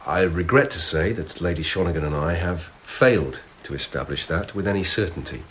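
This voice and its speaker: An actor playing a shrewd, dutiful lieutenant investigator in a radio drama, with deliberate delivery. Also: male voice, low voice, fairly bright tone, a little hiss or hum. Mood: respectful, persuasive.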